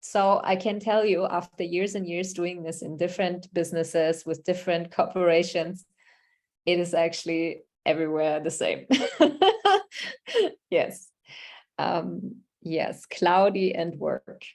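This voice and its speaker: A woman speaks over a video call, running an online workshop. Her voice sits on the lower side, and her delivery is conversational as she reads participants' answers aloud and responds to them. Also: little pitch movement, a little slow, a little mellow. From Germany. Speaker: workshop facilitator.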